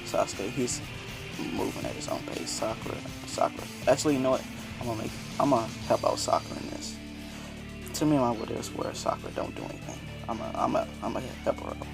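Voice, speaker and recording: Raspy laid-back man rambling a story. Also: medium pitch, wildly swooping pitch, fairly quick. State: just woke up, dry voice. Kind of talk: casual fan-fiction storytelling. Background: background music.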